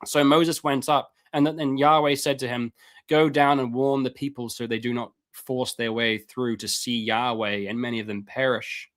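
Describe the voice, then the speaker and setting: A man on a live stream reading Bible passages aloud and commenting on them, with casual asides and his voice going hoarse. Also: fairly even intonation, normal speed, fairly bright tone. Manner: reads scripture aloud then comments, rises in pitch when fervent; casual asides, repeats words, clears throat. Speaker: streamer teaching on scripture.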